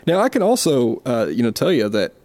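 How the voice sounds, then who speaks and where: A man's voice: medium pitch, big pitch swings, brisk, darkish tone, no room sound. A man from South Mississippi with a Southern accent, co-hosting a casual podcast chat in a rambling, self-deprecating way with frequent restarts. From South Mississippi, USA. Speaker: podcast co-host. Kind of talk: casual conversation.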